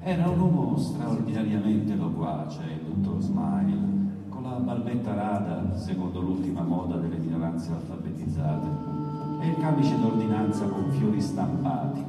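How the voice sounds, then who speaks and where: Low-voiced man reciting in an echoey hall. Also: lively intonation, unhurried. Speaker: author reading his own text at a poetry event. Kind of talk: literary recitation, first-person narrative. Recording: very echoey hall, distant, music underneath. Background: music.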